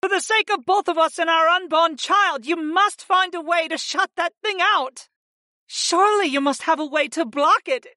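Man putting on a very high, frantic goddess. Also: moderate pace, bright, forceful tone, no room sound, studio quality. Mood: desperate pleading. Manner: frantic, pleading, moaning. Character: frantic female monster goddesses, wailing, desperate, pleading. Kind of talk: audiobook character voice.

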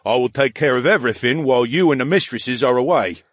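A man's voice: mid-range voice, quick pace, dry recording, studio quality, natural voice. A man voicing a scarred soldier in his mid-thirties who answers formally and obediently. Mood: dutiful.

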